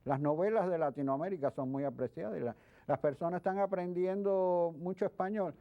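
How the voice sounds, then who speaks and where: A man speaking as a guest expert in an interview, explaining things in a halting way with repeated words. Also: sits high. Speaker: guest expert, history professor. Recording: dull lo-fi sound.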